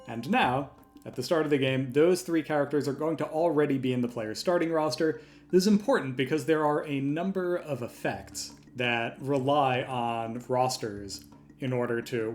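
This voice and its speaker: Guy explaining software step by step, casual, normal pitch, bit of music in the background. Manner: explanatory, informal, talks through each step aloud. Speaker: software tutorial host. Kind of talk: step-by-step screen tutorial narration, conversational. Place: voice-over for a screen recording.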